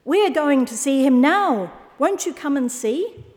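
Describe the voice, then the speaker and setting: Storybook sheep, played by a woman: thrilled, eager, joyful, pitched high, ringing in a long reverb. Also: animated, takes it slowly, heavily acted. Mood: excited, joyful. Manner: eager, exclaiming. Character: excited, joyful sheep spreading good news.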